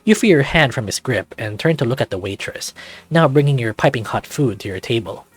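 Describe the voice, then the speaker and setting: A man's voice: medium pitch, expressive, fairly quick, slightly rough, faint music behind. A man narrating a scripted second-person story as a visual novel reader.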